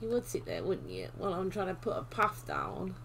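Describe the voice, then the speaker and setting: A British woman chatting casually as she livestreams a game, unhurried and half-absorbed, her voice slightly going. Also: fairly low pitch, wildly swooping pitch, gravelly, a bit bright, a little hiss or hum. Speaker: livestream host. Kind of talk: casual livestream chat while gaming. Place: indoor room at home.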